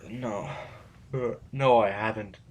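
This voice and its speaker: A man's voice: lowish voice, fairly even intonation, slow pace, a little hiss or hum. Man playing an anxious, reluctant intellectual rebel. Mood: reluctant, uneasy. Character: reluctant male intellectual rebel, anxious, self-preserving, argumentative. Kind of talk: audio drama acting.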